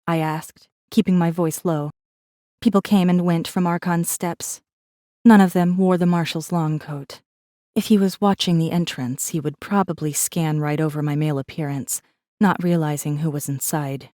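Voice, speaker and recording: A woman's voice: sits low, fairly even intonation, moderate pace, warm tone, no echo, studio quality, natural voice. A woman voicing a wry, guarded rebel messenger who narrates a space fantasy audiobook in a controlled, intimate way. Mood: wry, irritated. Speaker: audiobook narrator in first person. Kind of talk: scripted dramatic narration.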